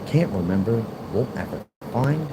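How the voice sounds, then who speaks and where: A man's voice: medium pitch, animated, normal speed. A man in his forties with a Philadelphia accent, rambling and repeating himself in a livestream monologue. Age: 40s. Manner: rambling, repetitive, argumentative. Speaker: livestream host. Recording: loud background noise, air conditioner hum.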